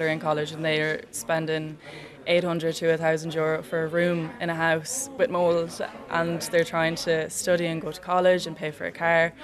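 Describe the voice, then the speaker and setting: A young Irish woman, a student, talking earnestly and chattily about living costs. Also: sits low. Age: young adult. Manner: earnest, chatty, uses "like" often. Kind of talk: casual interview comments.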